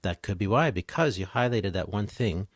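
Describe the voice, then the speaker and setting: A man's voice: on the low side, expressive, moderate pace, no room sound, clean studio sound. A man speaking as an expert guest on a podcast, in casual interview conversation.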